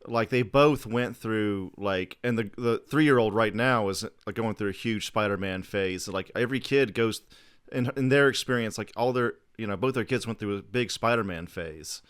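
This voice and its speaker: A man's voice: fairly low pitch, moderate pace, fairly bright tone, clean tone. A man in his thirties hosting a podcast, chatting in an informal, sweary way. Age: 30s. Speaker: podcast host. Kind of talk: casual chat.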